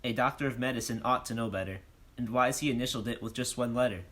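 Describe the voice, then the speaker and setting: An actor playing a bold, self-important police detective, declaiming in rhyme in a comic audio drama. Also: male voice, medium pitch, very fast, fairly bright tone, natural voice. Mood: puzzled, deducing. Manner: declamatory, questioning, speaks in rhyme.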